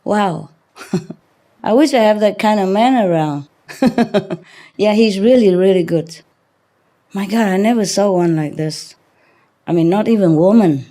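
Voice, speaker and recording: A woman's voice: medium pitch, mellow. A woman spiritual teacher talking casually to a group, rambling through anecdotes in a chatty, teasing, often chuckling manner. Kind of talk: casual anecdotes and banter with an audience.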